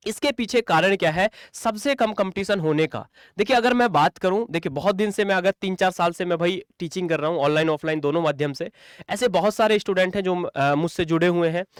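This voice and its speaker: A man speaks as a coaching teacher in a high, smooth voice. He explains things informally and emphatically, often repeating a phrase to drive it home. The sound is dry and close, with very little room. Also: fairly quick, no echo. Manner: repetitive, emphatic, addresses listeners as brother and friends. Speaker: online exam-coaching teacher. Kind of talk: informal exam strategy lecture to students.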